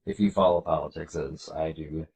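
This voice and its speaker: A man with a congested, nasal voice from a cold, hosting a video and reading slides aloud in an earnest, measured way. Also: very deep voice, fairly even intonation, darkish tone. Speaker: political video host.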